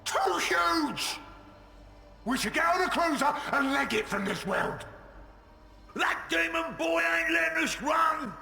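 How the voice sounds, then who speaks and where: A cowardly, slow-witted ork runt from a video game, with a Cockney accent. He screeches and shouts his crude, stammering lines in a piercingly high voice, with a long reverberant ring around him. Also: lively intonation, vocal fry, soft background music, full character voice. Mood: panicked, shouting. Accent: Cockney English. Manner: blubbering, shrieking, stammering, crude grammar. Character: small, panicky, dim-witted ork greenskin underling. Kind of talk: video game cutscene acting.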